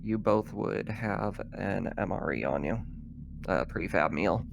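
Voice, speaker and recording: A man with a lowish voice acts as game master for a tabletop roleplaying game. He explains things informally, with some hesitation, over faint background noise. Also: lively intonation, measured pace, vocal fry.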